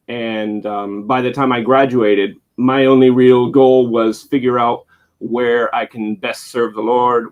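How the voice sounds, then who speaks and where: A man's voice: medium pitch, lo-fi sound. A young man who grew up in Spain, a missionary guest answering interview questions in a hesitant way, with frequent ums and restarts. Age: young adult. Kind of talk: interview conversation.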